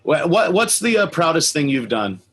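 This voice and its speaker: A man's voice: on the high side, sing-song, normal speed, fairly bright tone, slightly rough. A man hosting an interview as a casual chat, speaking informally like an enthusiastic fan. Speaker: interview host.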